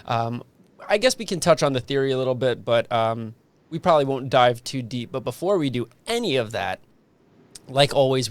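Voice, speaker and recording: A man's voice: medium pitch, dry recording. A man hosting a casual interview podcast, chatting informally and explaining things as he goes. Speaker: podcast host.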